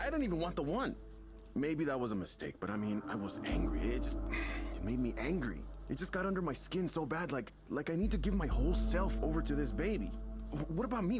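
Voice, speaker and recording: An actor playing a stressed, defensive young father who vents in a halting, emphatic, conversational way. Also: a little high. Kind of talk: naturalistic game voice acting.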